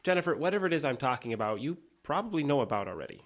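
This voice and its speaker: A man's voice: mid-range voice, big pitch swings, racing. An actor playing an earnest, slightly awkward small-town man in an audio drama, hesitant and conciliatory. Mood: questioning, uneasy.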